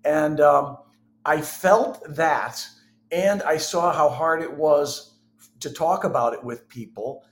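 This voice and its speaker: Higher-pitched middle-aged man, candid storyteller. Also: slow. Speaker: interview guest, filmmaker.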